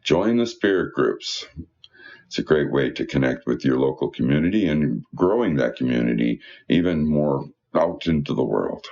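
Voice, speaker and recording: Really deep voiced guy reading out church announcements, chatty and folksy, kinda dull muffled audio. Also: lively intonation, normal speed, a little mellow. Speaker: church newsletter reader. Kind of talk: reading announcements aloud with informal asides.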